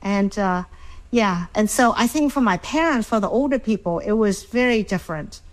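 A woman's voice: mid-range voice, lively intonation, moderate pace, poor audio. A woman with a Vietnamese accent, a storyteller guest, speaking reflectively with many restarts and fillers. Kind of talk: reflective panel conversation.

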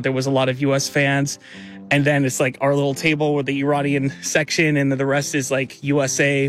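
A man in his thirties recalling memories casually in an interview, with lots of fillers and restarts. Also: medium pitch. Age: 30s. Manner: chatty, filler-heavy, with likes and restarts.

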